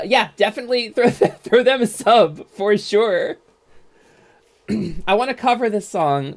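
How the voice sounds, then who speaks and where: Lively, chatty woman streamer. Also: fairly low pitch. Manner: chatty, excitable, swears freely, frequent fillers and restarts. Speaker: game streamer. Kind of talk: casual chat while streaming a game.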